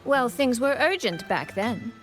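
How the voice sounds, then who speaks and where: A woman playing a composed, secretive young elder sister in a video game, speaking in a measured, playfully teasing way. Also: on the high side, expressive, fairly bright tone. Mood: light teasing. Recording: music behind the voice.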